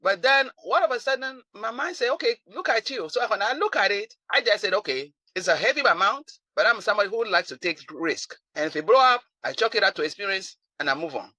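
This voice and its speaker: A woman in her seventies with a Ghanaian accent, answering interview questions over a video call, animated and quick to repeat and restart her words. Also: mid-range voice, dry recording. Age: 70s. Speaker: client giving a testimonial.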